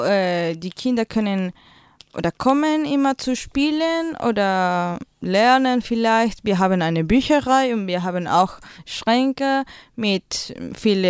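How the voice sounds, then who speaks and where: A woman's voice: mid-range voice, darkish tone, clear, resonant tone, no echo, clean studio sound. A young woman with a Peruvian Spanish accent, a native Spanish speaker, answering in a casual interview while searching for her German words. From Peru. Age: young adult. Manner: hesitant, searching for German words with many fillers. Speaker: guest, school volunteer.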